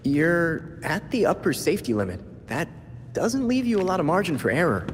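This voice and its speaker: A man's voice: a little high, very animated, moderate pace, a little hiss or hum. A man playing an earnest, nerdy teenage boy crimefighter in a video game, cautious, precise and inquisitive. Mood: cautious challenge. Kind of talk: naturalistic video game acting. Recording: reverberant room with music behind.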